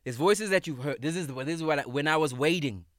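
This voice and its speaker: A man in his thirties, a comedian guest in a podcast studio, talking casually, emphatic and animated, swearing freely. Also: fairly high pitch, fast talker, no room sound. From South Africa. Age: 30s. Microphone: studio microphone.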